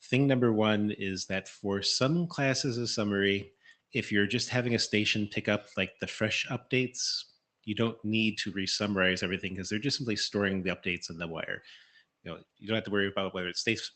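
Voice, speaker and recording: A man's voice: on the low side, fairly even intonation, fairly quick, fairly bright tone. A man giving informal technical comments from the floor of a meeting.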